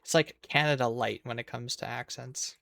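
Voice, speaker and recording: A man's voice: medium pitch, steady tone, normal speed, vocal fry, fairly bright tone. A man with a Minnesotan accent, in his thirties, chatting casually with viewers while he streams a game and restarting his sentences as he goes. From Minnesota, USA.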